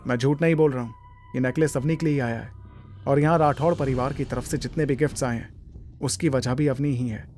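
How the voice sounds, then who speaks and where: A man's voice: mid-range voice, fairly even intonation, brisk, darkish tone, soft background music, natural voice. A man acting an earnest, wronged young husband in an audio drama. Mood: earnest, insistent.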